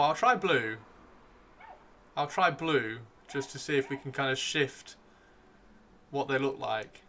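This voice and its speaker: A man hosting a casual live stream, chatting excitably and repeating his phrases, with his voice starting to give out. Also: a little high, a little hiss or hum. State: losing his voice. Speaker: livestream host. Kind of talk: casual live stream chat while painting miniatures.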